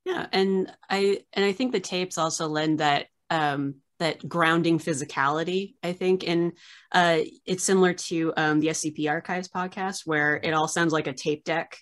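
A woman's voice: fairly low pitch, steady tone, normal speed. A woman hosting a casual interview over a video call, mostly giving short agreeing interjections. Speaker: host. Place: small indoor room.

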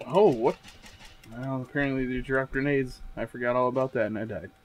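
A man's voice: mid-range voice, brisk. A man chatting casually and jokingly as a co-host of a gaming let's play. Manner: jokey, laughs often. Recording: clear background game noise.

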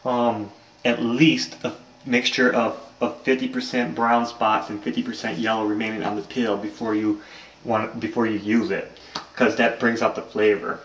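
A man's voice: fairly low pitch, little pitch movement, moderate pace. A young man casually talking through a home recipe in his kitchen, rambling with lots of ums, recorded on a handheld camera. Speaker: home cooking vlogger. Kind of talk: casual cooking demonstration. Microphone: handheld camera mic. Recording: echoey kitchen, faint noise.

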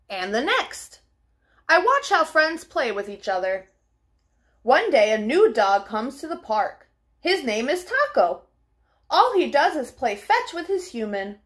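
A young woman reading a children's storybook aloud in an expressive, deliberate, child-directed way. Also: sits high. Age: young adult. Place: small furnished living room at home.

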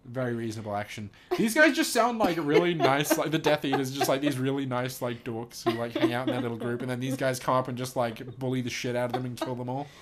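A man's voice: medium pitch, expressive, quick pace, hardly any pauses, a crowd in the background. A young man reading a text aloud and adding casual, joking, sweary commentary in an amused way. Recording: noticeable background noise.

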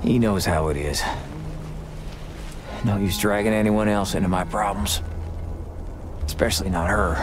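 An actor playing a laconic, stoic, weary cowboy gunslinger in a Western video game. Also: male voice, lowish voice, normal speed, pauses often, music in the background, lightly acted. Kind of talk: video game cutscene acting.

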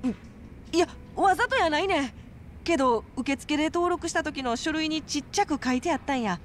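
High-pitched, pushy Kansai hustler gal. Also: female voice, moderate pace, slight background noise. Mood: sheepish pleading. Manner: animated, coaxing, emphatic. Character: brash, pushy, wheedling female fight promoter. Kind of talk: video game cutscene acting.

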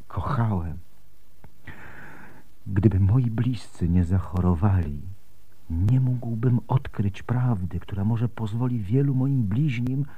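A man's voice: fairly low pitch, breathy edge, phone-quality sound, full character voice. An actor playing an earnest, pious, determined middle-aged man marked by grief, in a radio drama. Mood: solemn, moved.

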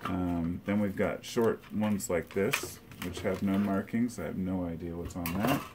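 A man's voice: deep voice, steady tone, unhurried, noisy background. A middle-aged man sits in his living room and talks casually through his box of old tapes. He thinks aloud and rambles, with many fillers. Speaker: collector showing his tape reels. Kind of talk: casual show-and-tell. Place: living room by a record shelf, indoors.

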